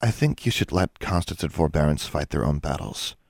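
A man voicing a quiet, cautious, gentle schoolgirl in an audiobook. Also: low voice, animated, a little fast, no echo, studio quality. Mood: worried. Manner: soft, subdued.

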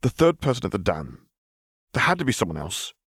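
A man's voice: fairly low pitch, very animated, a little fast, fairly bright tone, slightly rough, dry recording, clean studio sound, heavily acted. A man voicing a stern, frustrated female sheriff in an audiobook, insistent and questioning. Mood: pressing. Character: stern, frustrated woman sheriff, pressing for answers.

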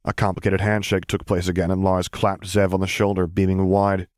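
A man's voice: low-pitched, completely flat delivery, a little fast, darkish tone, no echo, clean studio sound. A man reading scripted fiction as an audiobook narrator.

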